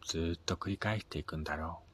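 A man with a husky voice narrating a scripted first-person radio drama, his phrase endings trailing into a breathy near-whisper. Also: low voice, quick pace, fairly bright tone. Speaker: reader of own short story.

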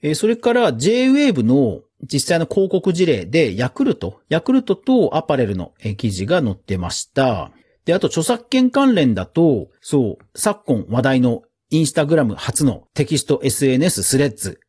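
A man's voice: on the high side, big pitch swings, normal speed, darkish tone, breathy edge. A man hosting a podcast news round-up in a casual, chatty way, with informal asides and little interjections of agreement. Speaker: podcast host.

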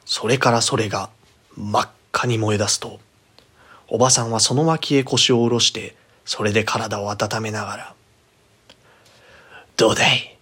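A man narrating a scripted horror fairy tale as storyteller, theatrical and often hushed. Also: fairly low pitch, steady tone, moderate pace, breathy edge.

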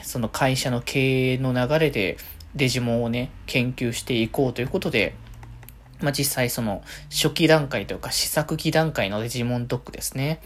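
A man hosting a solo podcast, giving casual fan commentary and thinking aloud in loose, winding sentences. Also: mid-range voice.

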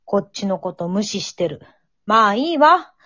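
A woman's voice: medium pitch, sing-song, normal speed, bright, forceful tone, no room sound, lo-fi sound. A woman playing an impatient, nagging mother in an amateur radio drama, calling and chiding in a sing-song way. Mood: exasperated.

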